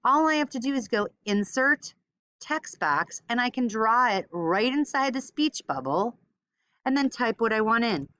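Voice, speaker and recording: Woman, smooth voice, mid pitch, informal tutorial teacher, thinks aloud, dull computer-mic sound. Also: expressive, a little slow, sharp tone. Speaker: teacher giving a software tutorial. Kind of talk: casual screen-recorded step-by-step tutorial.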